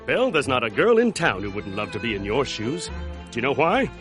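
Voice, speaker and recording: A man plays a conceited, swaggering hunter with a lively, smug delivery. Music plays clearly behind him. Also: medium pitch, a little fast, lightly acted. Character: conceited brawny male hunter, boastful.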